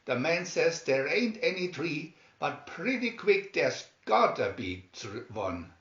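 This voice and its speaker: Man playing plucky gruff cartoon sailor, folksy grammar, very lively pitch, roomy dull recording. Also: takes it slowly, full character voice. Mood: chortling. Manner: folksy, ungrammatical speech.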